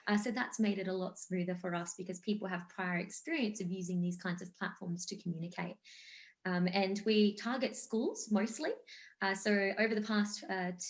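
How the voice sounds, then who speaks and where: A woman's voice: mid-range voice, normal speed. A woman in her thirties speaking on an online panel as a game company founder, enthusiastic and fluent with some fillers, heard over a video call.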